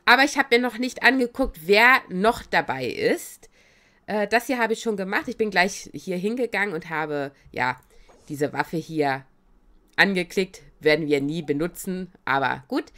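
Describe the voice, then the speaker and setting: A woman with a cold chats her way through a video game on stream, excitable and giggly. Her slightly raspy voice is bright and lively, jumping up and down in pitch. She sounds close to a desk microphone. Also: normal speed. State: has a cold. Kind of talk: casual let's-play commentary.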